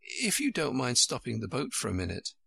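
A man's voice: medium pitch, wildly swooping pitch, fairly quick, vocal fry, sharp tone, husky, dry recording, full character voice. A man voicing a polite, earnest and eager little girl from a storybook, easily puzzled. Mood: eager, pleading. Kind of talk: storybook character voice.

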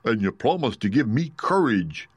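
A man voicing a big cowardly lion in a storybook, exclaiming in a drawn-out way. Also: medium pitch, sing-song, husky, no room sound, voice pushed up. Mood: insistent.

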